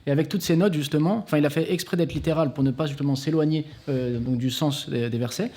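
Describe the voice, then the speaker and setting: A man explaining things as a guest at a talk, quick and eager, often restarting his sentences. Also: a little high, dark tone. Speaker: conference guest speaker.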